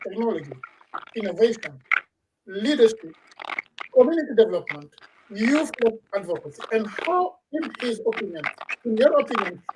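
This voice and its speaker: A woman hosting a radio talk program, giving formal introductions and moderating a panel in a measured way with frequent restarts. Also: medium pitch, recorded from a distance, noisy background. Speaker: radio talk show host. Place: in Toronto.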